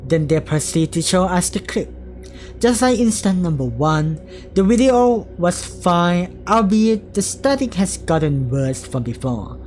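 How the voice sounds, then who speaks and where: Low-pitched woman narrating a video essay. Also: sing-song, measured pace, darkish tone, faint music behind. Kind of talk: scripted analysis narration.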